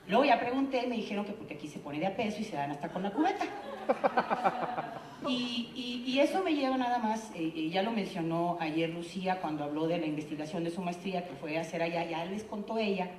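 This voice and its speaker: A woman's voice: medium pitch, a little mellow, slight background noise. A woman from Tamaulipas with a Mexican Spanish accent giving a chatty, joking talk at a theater congress. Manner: jokey, chatty, self-deprecating. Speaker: conference speaker. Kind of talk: informal speech at a congress. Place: conference hall. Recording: echoey hall.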